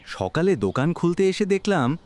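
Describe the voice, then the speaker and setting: A man voicing a nosy, knowing village shopkeeper in an animated horror story. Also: on the high side, moderate pace, slight background noise. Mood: nosy, teasing.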